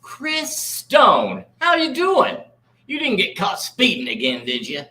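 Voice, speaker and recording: A man acts out a star-struck small-town fellow. His voice sits high and bright. He gushes and rambles in a folksy, excitable way, as in a live comedy table read. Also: slow pace, exaggerated voice. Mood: friendly, teasing.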